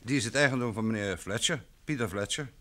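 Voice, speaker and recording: An actor playing a chatty, obliging country innkeeper in an old Dutch radio play. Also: male voice, lowish voice, fast, a bit bright, natural voice. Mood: helpful, chatty.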